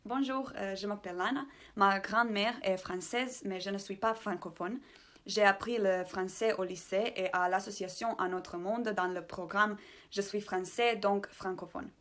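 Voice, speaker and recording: Woman, testimonial; medium pitch, upbeat, some hesitation. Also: steady tone, normal speed, a bit bright. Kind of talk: personal testimony.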